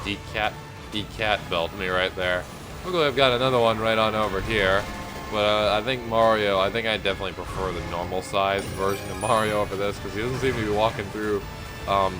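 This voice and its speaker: A congested man with a mild cold giving rambling, casual commentary while he plays a video game. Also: fairly low pitch. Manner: rambling, relaxed, lots of fillers. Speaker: gameplay commentator. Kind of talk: casual let's-play commentary. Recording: loud game music behind voice. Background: game music.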